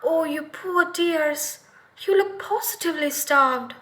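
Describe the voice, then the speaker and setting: A woman's voice: sits high, takes it slowly, a bit bright, voice pushed up. A woman voicing a sly old witch in a children's story, coaxing and sugary with an insistent edge.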